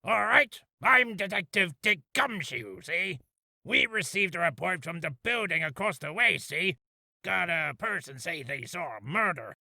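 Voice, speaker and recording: A man voicing a gruff but loyal, earnest police detective with a blunt, informal manner, for a character reel. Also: high voice, expressive, measured pace, sharp tone, no room sound, heavily acted. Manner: blunt, informal, tags phrases with 'see' and 'pal'.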